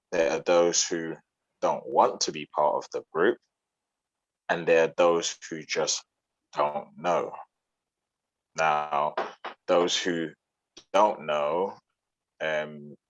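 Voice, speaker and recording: Very deep-voiced man, dull dry audio. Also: dry recording. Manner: measured, explanatory, lays out points in sequence. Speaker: debate participant. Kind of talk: discussion argument.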